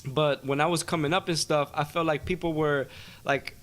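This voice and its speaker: A man's voice: a little high, steady tone, moderate pace, crowd noise. A young man from Silver Spring, a rapper being interviewed, talking casually in slang with many fillers. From Silver Spring, Maryland, USA. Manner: laid-back slangy talk, many fillers and "you know what I'm saying". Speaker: guest rapper.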